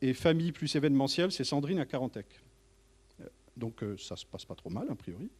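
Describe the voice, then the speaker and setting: A man giving an informal professional presentation to colleagues as a manager, speaking in a halting way with frequent false starts. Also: medium pitch, sing-song, darkish tone, husky. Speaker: tourist office manager presenting.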